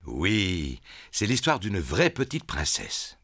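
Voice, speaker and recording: A man's voice: sits low, wildly swooping pitch, takes it slowly, background noise, heavily acted. A man voicing a good-natured, boastful daddy pig in a French children's cartoon, blustering and a little hesitant. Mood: jovial storytelling. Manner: blustering, hesitant when caught out.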